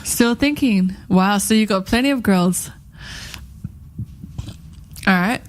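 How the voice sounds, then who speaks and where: A woman's voice: medium pitch, takes it slowly, a little hiss or hum. A woman in her thirties co-hosting a radio chat show, talking in a rambling, heartfelt way and repeating herself often. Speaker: radio co-host.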